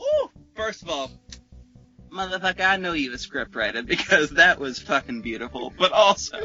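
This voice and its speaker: A man's voice: on the high side, huge pitch swings, moderate pace, sharp tone, highs cut off, soft background music. A man hosting a podcast with casual comic banter, speaking in an emphatic, profane way. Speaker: podcast host.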